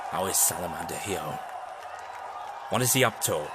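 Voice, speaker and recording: An actor playing a wary, inquisitive time-travelling Doctor with dry irony and a halting, musing manner in an audio drama. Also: male voice, sits low, expressive, a crowd in the background, background noise. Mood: alarmed. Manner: halting, musing, with wry asides.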